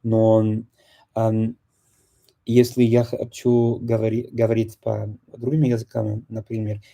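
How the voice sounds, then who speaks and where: A man, a native English speaker, hosting a casual group chat and often pausing to search for words. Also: fairly low pitch, monotone, vocal fry, warm tone. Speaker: host of a discussion on multilingual events.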